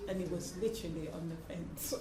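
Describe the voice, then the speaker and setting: A woman's voice: lowish voice, brisk, recorded from a distance. Woman in a very echoey, noisy room. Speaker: community group participant. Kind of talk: casual discussion. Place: echoey room at a community centre gathering.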